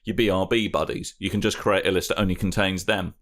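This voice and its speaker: A man's voice: deep voice, steady tone, quick pace, a bit bright. An English man co-hosting a gaming podcast, bantering playfully in casual chat. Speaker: podcast co-host.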